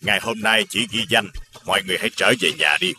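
A man voicing a kind, principled village scholar in an animated folktale, earnest and instructive in manner. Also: lowish voice, fast talker, soft background music. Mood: gentle.

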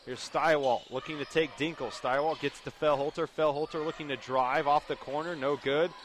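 A man's voice: fairly high pitch, steady tone, moderate pace. A young man doing live radio play-by-play commentary of a basketball game as the announcer. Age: young adult. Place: indoor high school basketball gym. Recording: clear gym noise behind commentary. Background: game noise, bouncing basketball.

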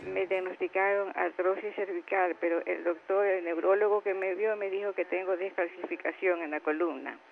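A woman's voice: on the low side, steady tone, normal speed, vocal fry, hardly any pauses, a little hiss or hum. A woman in her seventies calling in to a health show, telling her story in a rambling, polite way. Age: 70s. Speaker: caller. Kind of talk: phone-in question. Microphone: telephone. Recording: tinny phone line.

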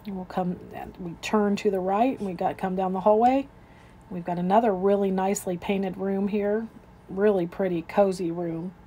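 A woman's voice: mid-range voice, fairly even intonation, normal speed, dark tone, slight background noise. A woman real estate agent narrating an informal, unscripted walkthrough of a home, describing each room as she moves through it. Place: indoors, moving through rooms of a house.

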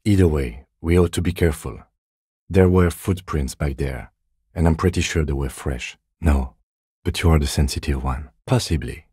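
An actor playing a composed, dry police lieutenant in a video game, speaking softly in a measured, restrained deadpan with a slightly husky voice. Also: male voice, rumbling low, dry recording, exaggerated voice. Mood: calm, dry. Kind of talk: video game dialogue acting.

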